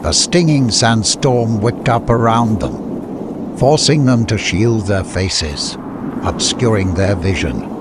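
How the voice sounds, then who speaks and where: Low-pitched male storybook narrator. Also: animated, slow, very noisy. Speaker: audiobook narrator.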